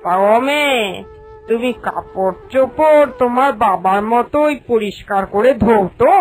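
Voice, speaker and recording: A woman playing a proud, bossy mistress of a wealthy village household in a cartoon, haughty and authoritative. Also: on the high side. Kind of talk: Bengali cartoon voice acting.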